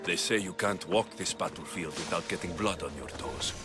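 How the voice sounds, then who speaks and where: A man's voice: low voice, normal speed, over loud music, close to an everyday voice. A man playing a headstrong young Greek warrior in a video game, blunt, intense and protective of his family. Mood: determined. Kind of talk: video game cinematic acting.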